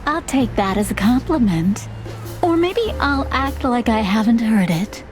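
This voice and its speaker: High-voiced, coy noir young woman. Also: lively intonation, a little slow, soft background music. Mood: composed. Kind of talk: film-noir game voice acting.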